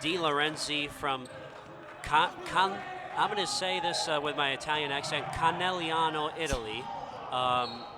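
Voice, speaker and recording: A man giving conversational color commentary on a live basketball game, with a halting delivery. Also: on the high side. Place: indoor basketball gym during game. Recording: noisy gym with bouncing balls.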